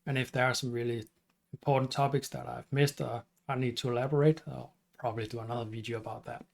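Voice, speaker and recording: A middle-aged man with a Danish accent, hosting his channel and explaining at an unhurried pace with some fillers and restarts. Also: mid-range voice, steady tone, vocal fry. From Denmark. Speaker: channel host and teacher. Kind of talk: casual explainer talk to camera.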